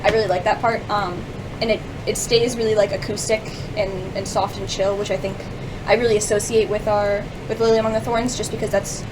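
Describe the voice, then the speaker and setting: A young woman chatting casually as a radio guest, in a halting, rambling way with lots of "like". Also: medium pitch, flat delivery, far from the mic, noisy background. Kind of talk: casual chat about music.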